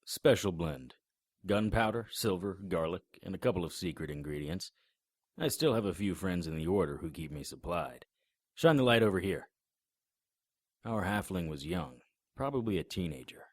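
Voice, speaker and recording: A man's voice: deep voice, expressive, normal speed, no echo. Man playing a dry-witted vampire hunter. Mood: wry. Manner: dry, sardonic, matter-of-fact. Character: wisecracking middle-aged male monster hunter. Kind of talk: audio drama acting.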